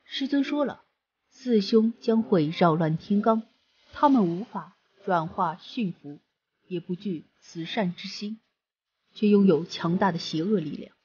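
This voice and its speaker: A woman voicing a stern young male immortal disciple, speaking low and measured. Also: a little mellow, husky, lo-fi sound.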